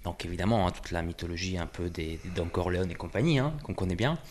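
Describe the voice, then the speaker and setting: A man's voice: low voice, expressive, fast, a little mellow, a little hiss or hum. A man in his forties from Italy, a musician talking casually in an interview, hesitant and full of fillers. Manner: hesitant, many fillers and restarts. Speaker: musician guest.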